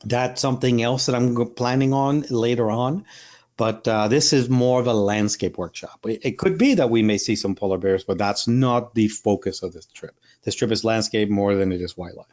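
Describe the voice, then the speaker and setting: A man's voice: medium pitch, normal speed, darkish tone, highs cut off. A man from Rico, a Spanish speaker, chatting casually in an interview with lots of fillers and restarts. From Puerto Rico. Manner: rambling, many fillers and restarts. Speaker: wildlife photographer and workshop leader.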